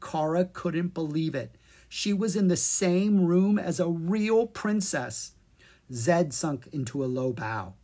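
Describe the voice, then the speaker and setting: Low-pitched, husky woman reading a children's story. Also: lively intonation, slow pace, warm tone. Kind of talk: expressive scripted narration for children.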